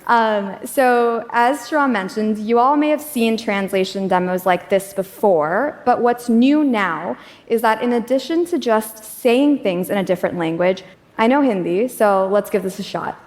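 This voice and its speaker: A woman's voice: mid-range voice, unhurried, darkish tone. A woman presenting a live demo on a conference stage, talking in a friendly, conversational way. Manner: friendly, conversational, laughs easily. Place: large conference hall stage. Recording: long reverb of a large hall.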